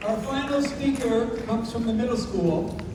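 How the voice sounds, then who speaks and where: A man's voice: extremely high pitch, moderate pace. A man giving a formal, admiring introduction of a teacher at a school assembly. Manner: warm, admiring, formal delivery. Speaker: school administrator introducing a speaker. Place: large school hall. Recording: echoey hall from far off with room noise.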